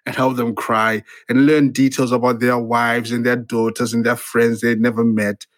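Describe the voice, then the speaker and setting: Zimbabwean man, playwright guest, halting and reflective, mid pitch. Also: flat delivery. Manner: hesitant, halting, many restarts and fillers. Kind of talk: reflective interview conversation.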